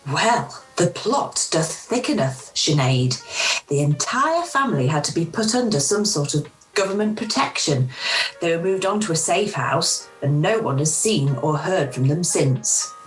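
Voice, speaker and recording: Hospitable club hostess played by an amateur actress, low female voice, wry and theatrical, very expressive radio drama delivery. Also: unhurried, soft background music, rough recording. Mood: wry, gossipy. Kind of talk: amateur radio play acting.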